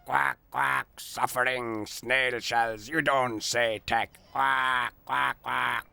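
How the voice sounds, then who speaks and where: A man doing a gravelly, quacking duck. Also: mid-range voice, steady tone, extremely slow, sharp tone, no echo, heavily acted. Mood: blustering. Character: gravelly know-it-all male duck.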